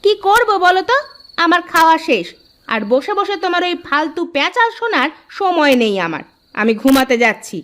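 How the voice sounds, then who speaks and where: Woman acting a high-pitched, scolding wife. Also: big pitch swings, fairly quick, fairly bright tone, exaggerated voice. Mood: dismissive. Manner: scolding, dismissive. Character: dismissive, no-nonsense village wife in a comedy. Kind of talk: Bengali comedy cartoon dubbing.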